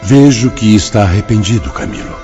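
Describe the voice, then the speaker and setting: A man's voice: on the low side, lively intonation, brisk, dark tone, lightly acted. An actor playing a serene, fatherly spirit mentor in a radio drama, solemn and preaching. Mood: solemn, comforting. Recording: dull audio under clear music.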